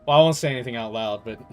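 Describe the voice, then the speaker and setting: A man giving casual gaming commentary as a streamer, talking in an animated, slangy, exasperated way. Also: medium pitch. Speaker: game streamer.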